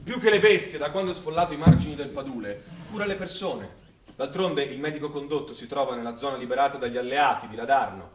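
A man's voice: medium pitch, fast talker, a crowd in the background, natural voice. A man, a stage actor, narrating dramatically in a declamatory, solemn manner. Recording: echoey room with audience noise.

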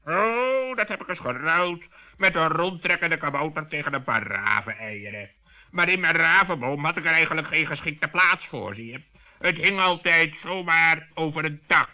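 A man's voice: on the high side, animated, moderate pace, pushed and bright, pitched above the performer's own voice. A man voicing a suspicious, sensible raven in a children's radio play, indignant and matter-of-fact. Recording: muffled vintage 1960s radio recording.

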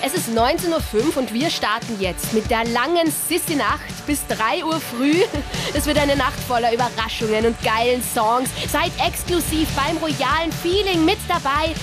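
A woman's voice: high voice, moderate pace, over music, natural voice. A woman playing a gushing, hyped-up rock radio host, chatting chummily. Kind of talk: excited radio show presenting.

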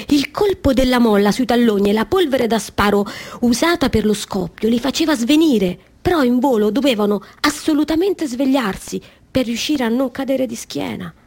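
A woman's voice: a little high, lively intonation, moderate pace, gravelly, few pauses, a little mellow. An actress playing an eager, apologetic woman performer in a radio drama, speaking in a hesitant, deferential, conversational way. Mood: curious.

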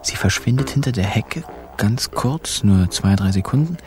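An actor playing a sly, laconic narrator in a radio crime play, telling the story with wry, clipped phrasing. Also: male voice, fairly low pitch, animated, moderate pace, music in the background. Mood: wry, observant. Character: wry, sly male narrator telling his own swindle.

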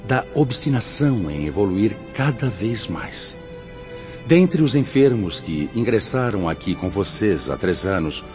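A man's voice: fairly low pitch, a little mellow. Solemn brazilian spirit teacher, fatherly and preachy, swooping intonation, muffled with music under. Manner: measured, didactic, preacherly. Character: solemn, fatherly male spirit mentor guiding suicide souls. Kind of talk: scripted radio drama acting.

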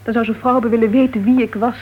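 A woman's voice: on the high side, fairly even intonation, racing, slightly rough. An actress playing a bitter, guarded woman with a painful past in a Dutch radio play, speaking in a terse, weary, restrained manner. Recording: dull, muffled old radio recording.

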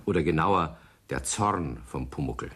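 A man narrating a children's radio play as a wry, measured storyteller with a husky voice. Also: rumbling low, expressive, fairly bright tone, exaggerated voice. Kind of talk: scripted narration.

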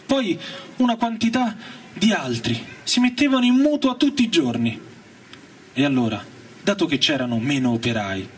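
A man giving a theatrical first-person reading of a novel, with rhythmic phrasing and pauses, over electric organ and guitar. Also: sits high, recorded from a distance, slight background noise. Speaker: reader at a literary reading. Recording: voice off-mic under loud music. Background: loud electric organ and guitar music.

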